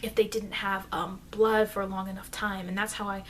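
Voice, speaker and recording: A woman playing a chatty, informal immortal vampire teacher in an ASMR roleplay, rambling hesitantly and confidingly, with soft dips into whispering. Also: medium pitch, level tone, moderate pace, close to an everyday voice. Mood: confiding, defensive. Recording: noisy amateur microphone.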